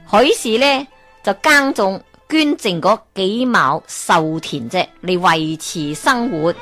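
A woman storyteller with a Hong Kong Cantonese accent spins an old folk tale at a middle pitch. She talks in a lively way and keeps turning to the listener with questions. Soft music plays quietly behind her.

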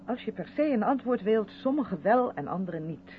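An actress playing a gushing, over-polite woman in a radio drama, quick to defend herself and faintly condescending. Her voice swings lively up and down. It sounds thin like a phone line, with a loud engine hum behind it. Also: medium pitch, lightly acted. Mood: defensive. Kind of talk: radio play dialogue in a moving car.

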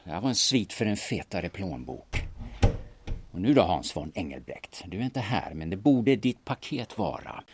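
A man's voice: low-pitched, sing-song, noisy background. A man playing a shifty, scheming auction agent in an audio drama, speaking in a hesitant, colloquial way. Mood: sneaking, scheming. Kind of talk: audio drama acting with muttered inner monologue.

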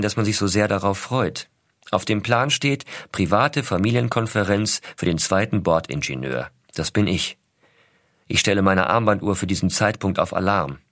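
A man playing an affectionate astronaut father, reading his letters in a measured, reflective way. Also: lowish voice, fairly bright tone, no echo, clean studio sound. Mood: matter-of-fact. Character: male American astronaut, affectionate father writing to small son. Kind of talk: scripted first-person letter narration.

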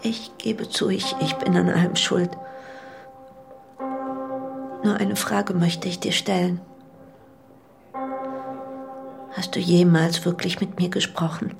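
An actress playing a fragile, self-blaming wife in a radio drama, confessing in halting, emotional phrases with repeated words. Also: female voice, lowish voice, flat delivery, gravelly, music in the background. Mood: hurt, self-blaming.

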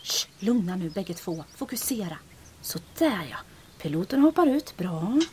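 A woman voicing a brisk resistance agent who gives crisp commands. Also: mid-range voice, very animated, darkish tone, a little hiss or hum, natural voice. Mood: calm commanding. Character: brisk female resistance agent leading a mission.

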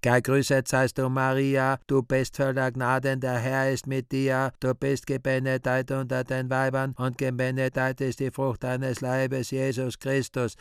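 A man's voice: mid-range voice, completely flat delivery, unhurried, few pauses, smooth, dry recording, studio quality, lightly acted. Man doing naive, drawling child angels. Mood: mechanical. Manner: loud, drawling, mechanical sing-song with wrong stresses. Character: naive child angels reciting prayers by rote.

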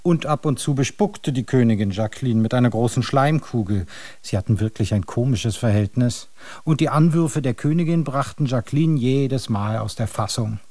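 An actor playing a storyteller, narrating an absurd fairy tale in a radio play with a wry, measured manner. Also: male voice, mid-range voice, darkish tone, no echo, natural voice. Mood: wry storytelling.

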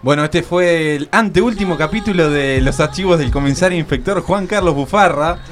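A man's voice: a little high, normal speed, faint music behind. A man presenting on live radio, reading credits in an informal sign-off style.

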